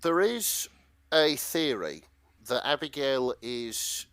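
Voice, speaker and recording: A man's voice: medium pitch, sing-song, slow pace, a bit bright, no room sound. A man talking casually and wryly as a podcast co-host, summarising and reading out a story in an informal way. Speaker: podcast co-host presenting a fan fiction story.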